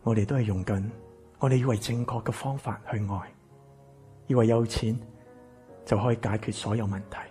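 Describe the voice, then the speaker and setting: A man's voice: on the low side, steady tone, normal speed, long pauses, warm tone, faint music behind, natural voice. A man playing a kind, wistful man with family regrets in a Cantonese radio drama. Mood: sober, reflective.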